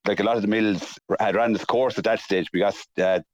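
A man's voice: lowish voice, fairly even intonation, fairly quick, no echo, muffled recording. An Irish-accented man from County Kilkenny, recounting local history as a guest in a spoken interview. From County Kilkenny, Ireland. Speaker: interviewee, mill restorer.

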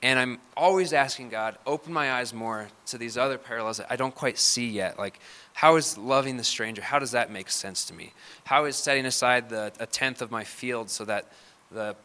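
A man gives a sermon in a conversational, earnest tone, as if thinking aloud. His voice is bright and forward, with a smooth, resonant clarity. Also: medium pitch, normal speed. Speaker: preacher.

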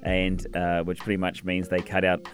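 A man with a New Zealand accent, a fitness trainer chatting casually as a radio guest, relaxed and quick to laugh. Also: low-pitched. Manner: relaxed, chatty, laughs easily, many fillers.

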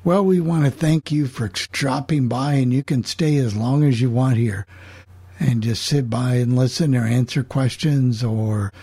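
A man with a husky, dark-toned voice hosts a casual group chat. He is encouraging and relaxed in delivery. The sound is dry. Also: medium pitch, unhurried, dry recording. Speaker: host.

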